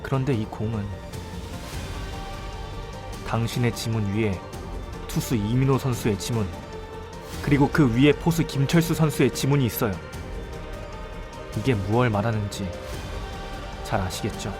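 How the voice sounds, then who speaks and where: A male actor playing a young student detective in an audio drama, speaking with polite, deliberate and dramatic composure. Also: mid-range voice, over music, close to an everyday voice. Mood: pressing, accusatory. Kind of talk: audio drama acting with first-person narration.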